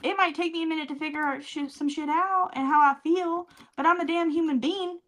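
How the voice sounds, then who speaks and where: Woman podcast cohost chatting casually, a bit higher pitched, blunt, slangy, swears a lot. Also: fairly even intonation, fairly quick, fairly bright tone. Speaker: podcast co-host. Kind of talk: casual chat.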